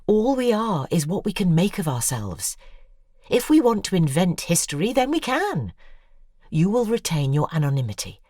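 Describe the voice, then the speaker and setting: An actress playing a persuasive, bitter middle-aged woman writer in an audio drama, intense and sardonic, with a husky voice. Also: lowish voice, sing-song, normal speed, mellow, no echo, clean studio sound.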